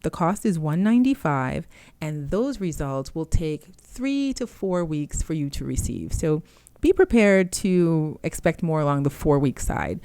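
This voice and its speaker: Woman trainer, native Haitian Creole speaker, deep voice, patient explainer, dry recording. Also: big pitch swings, a little slow, warm tone, no room sound. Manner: explanatory, uses fillers, clear step-by-step. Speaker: medical interpreter trainer. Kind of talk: informal instructional talk.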